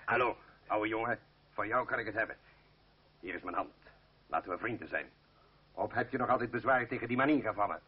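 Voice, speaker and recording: An actor playing a blustering, hot-tempered revolutionary orator who curses and speaks bluntly in a Dutch radio play. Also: male voice, fairly low pitch, normal speed, long pauses, slightly rough. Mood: hearty. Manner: bellowing, cursing, blunt. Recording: muffled old radio play recording.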